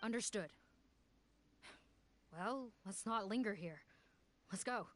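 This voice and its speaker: A woman playing a serious, analytical law officer in a video game dub, speaking in a formal, measured, commanding way. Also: mid-range voice, slight background noise, lightly acted.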